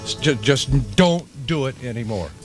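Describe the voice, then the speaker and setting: A man's voice: medium pitch, lively intonation, normal speed. A man on a radio show, bantering in an exasperated, emphatic way and repeating himself. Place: radio studio. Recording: archival radio broadcast with music bed.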